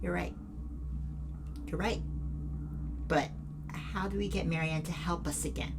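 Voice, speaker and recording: A woman's voice: sits low, big pitch swings, unhurried. A woman acting in an audio drama as a guilt-ridden, frightened young student who is anxious and defensive. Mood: subdued, doubtful. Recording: noticeable background noise and ambience.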